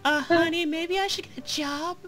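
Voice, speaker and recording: A man's voice: very high voice, steady tone, normal speed, over music, voice pushed up. A man voicing a timid, eager housewife from olden times in a comedy skit. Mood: meek, hopeful.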